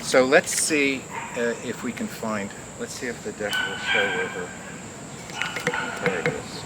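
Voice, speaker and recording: A man's voice: on the low side, a little slow. A man hosting a show with casual, off-the-cuff live patter. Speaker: host performing a card trick. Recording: very noisy live recording.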